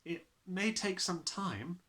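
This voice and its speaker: A man voicing an earnest, excitable little schoolgirl in a storybook, eager and insistent. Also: sits high, takes it slowly, voice pushed up, exaggerated voice. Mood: sure, eager.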